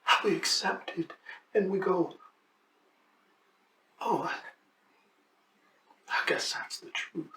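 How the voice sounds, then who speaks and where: An actor playing a brooding, searching man in a dramatic monologue, halting and often falling to a breathy near-whisper. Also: high-pitched, pushed and bright, full character voice. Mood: pensive, searching. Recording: distant echoey room, faint noise.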